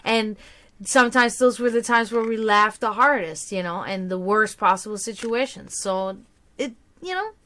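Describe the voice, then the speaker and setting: A woman's voice: fairly high pitch, a bit bright. A woman in casual livestream chat, giving tarot readings, rambling and laughing often.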